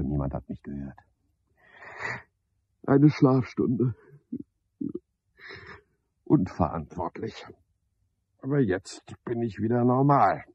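An actor playing an eccentric, sardonic man in a radio play, rambling to himself and to unseen callers in a husky voice. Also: low-pitched, dry recording, dull audio, heavily acted. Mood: feverish, mocking. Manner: sardonic, brooding, musing aloud in fragments.

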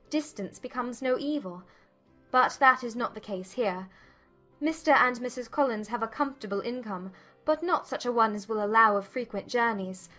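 An actress playing a spirited, self-assured young gentlewoman in a dramatized audiobook, speaking formally and firmly. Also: a little high, natural voice. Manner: formal, articulate, firm.

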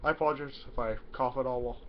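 A man's voice: mid-range voice, fairly even intonation, rapid-fire. A young man with a stuffy nose from a cold, talking casually to camera in a small bedroom and rambling with many fillers. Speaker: YouTuber. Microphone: webcam in front of him. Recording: dull lo-fi webcam audio, faint hiss.

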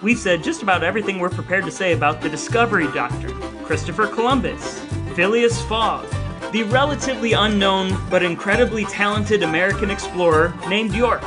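A man's voice: high-pitched, brisk, music in the background. A man co-hosting a podcast, addressing listeners in a casual, conversational presenting style. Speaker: podcast co-host.

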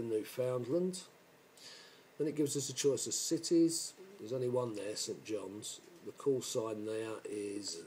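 A man walks through a computer program informally, step by step. His delivery is halting, and the homemade camera-microphone recording carries faint noise. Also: fairly low pitch, mellow, poor audio. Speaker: software demonstrator. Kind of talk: informal tutorial narration. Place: indoor room, filming a computer screen.

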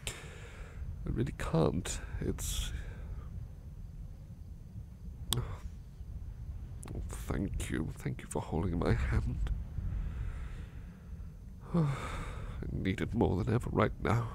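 A man playing a gentle, anxious, sleepy male servant in a soft ASMR roleplay, speaking hesitantly and repeating his words. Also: lowish voice, big pitch swings, slow pace, creaky, long pauses, a little hiss or hum, exaggerated voice. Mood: shaken, subdued. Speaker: roleplay voice performer.

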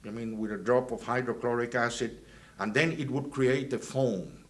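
A man, from Venezuela and raised in Guatemala, recalling his student years in a halting way with many fillers and restarts. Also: mid-range voice, fairly even intonation. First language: Spanish. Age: 70s. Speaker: alumnus interviewee. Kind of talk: reminiscing interview. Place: indoors, plain backdrop.

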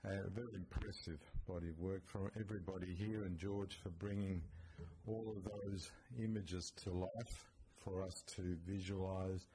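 A middle-aged man giving a public tribute speech at a lectern, halting and repeating words. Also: low voice, fairly even intonation, dark tone, a little hiss or hum, low-quality recording. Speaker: event speaker. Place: at a lectern on an event stage. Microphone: lectern microphone.

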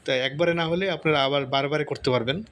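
A man giving a casual step-by-step software tutorial, often repeating himself and checking in with listeners. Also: on the high side, steady tone, very fast. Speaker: tutorial host. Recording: noticeable background noise.

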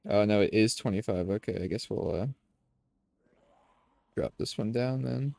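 A man's voice: lowish voice, normal speed, gravelly, no room sound. Nasal man casually narrating gameplay. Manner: relaxed, talks to viewers as "boys". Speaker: gaming streamer. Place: home room, indoors. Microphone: headset.

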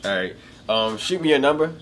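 Laughing, lively young man in a room. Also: mid-range voice, a little fast, background noise. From Florida. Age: young adult. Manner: joking, teasing, laughs often. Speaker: YouTuber. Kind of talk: casual video chat with strangers. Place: small indoor room.

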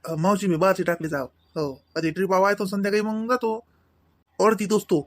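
A man's voice: high voice, steady tone, a little fast, dry recording, natural voice. A man playing a humble, polite village delivery driver in a comedy cartoon, folksy and plain-spoken, with a Varhadi Marathi accent. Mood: obliging.

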